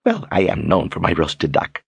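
A man's voice: extremely low pitch, huge pitch swings, moderate pace, creaky, breathy edge, no echo, exaggerated voice. A man voicing a friendly, homely female innkeeper who is eager to oblige, as in an audiobook. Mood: pleased, flattered. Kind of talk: audiobook character acting.

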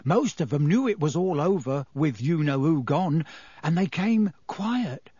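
A man voicing an excitable, gossipy young working-class bus conductor in an audiobook, chatty and colloquial, full of tag questions. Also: on the high side, a little slow, husky, no echo, exaggerated voice. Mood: gossipy, dramatic. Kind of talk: audiobook character voice.

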